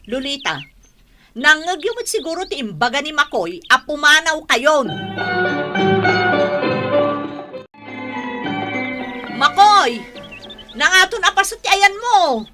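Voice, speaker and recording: A woman playing an emphatic, melodramatic wife in a radio drama, with an Ilocano accent. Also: sits high. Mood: anxious. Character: bossy, greedy wife who turns frantic, then remorseful.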